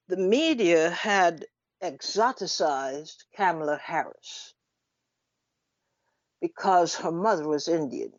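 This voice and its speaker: A woman giving unscripted radio commentary in an emphatic, deliberate manner, with rhetorical questions and pauses. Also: fairly low pitch, no room sound. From Trinidad. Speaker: radio talk show host. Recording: dull lo-fi radio audio.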